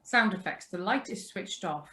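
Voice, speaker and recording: A woman's voice: mid-range voice, lively intonation, normal speed, sharp tone, a little hiss or hum. A woman reading out scripted sound-effect directions during a live play reading on a video call.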